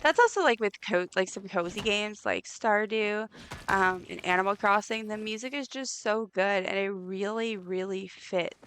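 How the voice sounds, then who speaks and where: A woman with a raspy voice chatting casually while streaming a game, rambling with lots of ums and likes. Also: medium pitch, moderate pace, slight background noise. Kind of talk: casual live gameplay chat. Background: soft game music.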